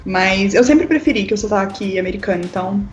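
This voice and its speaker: A woman's voice: mid-range voice, animated, fast, roomy sound. A woman from Brazil co-hosting a casual podcast, telling light anecdotes with laughter. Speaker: podcast co-host. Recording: dull lo-fi sound, faint music.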